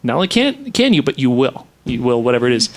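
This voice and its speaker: An animated middle-aged man co-hosting in a radio studio, speaking into a desk mic. He talks fast and chattily, and his pitch jumps around a lot. His voice sits at an ordinary middle pitch. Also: a little hiss or hum. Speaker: co-host. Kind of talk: casual studio chat.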